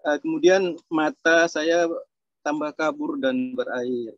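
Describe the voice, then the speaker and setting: A man's voice: on the high side, slow, dry recording. A man from Surabaya giving a candid, chatty testimonial over a video call, with a self-deprecating manner. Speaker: program participant giving a testimonial. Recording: dry, dull lo-fi call.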